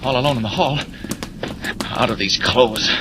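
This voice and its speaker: An actor playing a brave young reporter who is secretly a superhero, brisk, resolute and urgent, in 1940s radio serial style. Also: male voice, medium pitch, lightly acted. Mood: urgent resolve. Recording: very noisy old radio recording.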